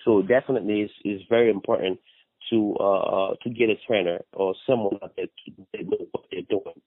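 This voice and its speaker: A man's voice: fairly low pitch, fairly even intonation, a little fast, no echo. A man from Haiti with a Haitian accent, giving casual fitness advice as a personal trainer in an interview, repeating phrases and often adding "you know". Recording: tinny phone-like radio line.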